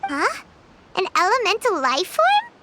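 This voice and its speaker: A woman voicing a small, excitable, childlike fairy companion with a squeaky voice in a video game. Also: a little slow, background noise, heavily acted. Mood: startled. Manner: speaks of self in third person, exclamatory.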